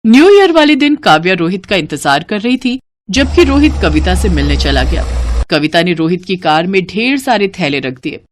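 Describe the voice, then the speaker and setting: A woman's voice: on the low side, sing-song, normal speed, a little hiss or hum. A woman reading scripted narration for a cartoon moral story as the storyteller.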